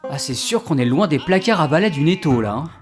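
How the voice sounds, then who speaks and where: An actor as a doubtful, wry man who hems and haws. His voice sits a little high over faint music in a comic audio serial. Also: expressive, brisk, close to an everyday voice. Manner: dry, hesitant. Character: sceptical, hesitant, wry man humouring his friends.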